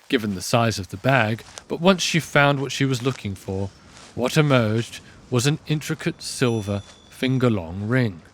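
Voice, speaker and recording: A man's voice: mid-range voice, slight background noise. A man giving scripted narration for a fantasy audio drama, in the style of a storybook. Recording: music bed under voice.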